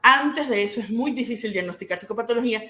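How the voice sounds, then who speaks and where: A woman from Venezuela, a clinical psychologist, explaining her field in a measured way and often checking in with a rising "¿sí?". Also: fairly high pitch, sharp tone, reverberant. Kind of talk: explanatory interview answers.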